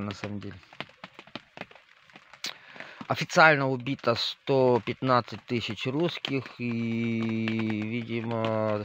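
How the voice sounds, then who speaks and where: Male spiritual leader, meandering delivery with fillers, mid pitch, lo-fi audio. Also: fairly bright tone. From northern Moldova. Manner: rambling, with many fillers and restarts, addresses listeners directly. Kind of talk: spoken monologue to viewers. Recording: slightly dull lo-fi audio with faint noise.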